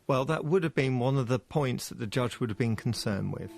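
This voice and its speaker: A man's voice: medium pitch, brisk, gravelly, over music. A man explains things as an expert interviewee, in a considered, formal and precise manner.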